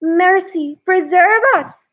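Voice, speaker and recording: A woman's voice: very high-pitched, slow pace, a bit bright, pitched above the performer's own voice, exaggerated voice. A woman voicing a timid, honest old minister in dismay for a children's storybook. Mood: shocked.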